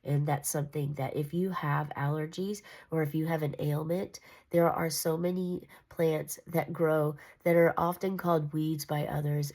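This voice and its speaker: A woman's voice: sits low, a little mellow. A congested, nasal woman giving a casual, meandering tarot reading. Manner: meandering, reassuring, with fillers and restarts. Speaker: tarot reader.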